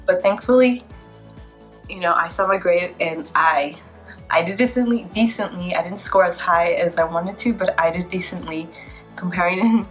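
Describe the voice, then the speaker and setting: A woman in her twenties talks to camera in a chatty, tired way, her voice congested from a cold. Her voice sits a little low for a woman, and a faint bit of music plays behind her. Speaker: vlogger, PA student. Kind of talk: casual vlog talk to camera.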